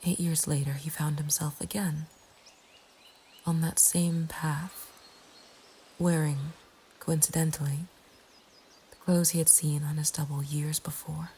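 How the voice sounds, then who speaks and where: A woman narrating a scripted horror story in a breathy ASMR whisper, with a hushed, deliberate delivery. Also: low-pitched, flat delivery. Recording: faint ambient background noise. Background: soft nature ambience.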